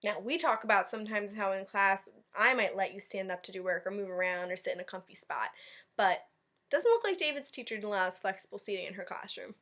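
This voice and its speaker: A woman's voice: mid-range voice, lively intonation, fairly quick, fairly bright tone. A woman teacher leading a read-aloud storytime, chatting directly to young children and commenting as she goes. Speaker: elementary school teacher.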